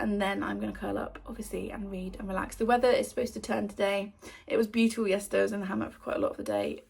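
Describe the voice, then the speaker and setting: A woman's voice: medium pitch, vocal fry, slightly rough. A woman in her thirties, chatting casually in a home book vlog, a little breathless and wheezy from a cough. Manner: chatty, rambling, with frequent ums. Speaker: book vlogger.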